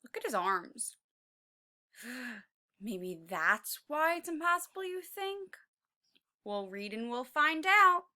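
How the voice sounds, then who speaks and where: A woman's voice: sits high, very animated, slow, pauses often, bright, forceful tone. A woman reading aloud to young children as a teacher would, expressively, stopping to prompt them with questions.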